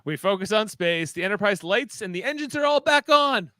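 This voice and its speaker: A man's voice: high voice, lively intonation, brisk, bright, forceful tone, no room sound, studio quality. A man narrating stage directions for a fan radio play in a casual, wry way.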